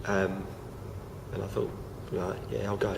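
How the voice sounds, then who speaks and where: A young man talks haltingly, full of fillers and false starts. His low voice is gravelly and croaky from feeling unwell, and a steady hiss sits under the home recording. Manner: hesitant, many fillers and restarts. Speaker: vlogger. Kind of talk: casual talk to camera.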